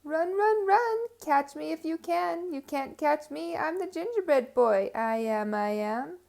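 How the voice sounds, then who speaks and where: Woman as a little gingerbread boy, high-pitched, boastful, gleeful, sing-song chanting, storybook character. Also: expressive, measured pace, creaky, darkish tone, exaggerated voice. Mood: taunting, gleeful.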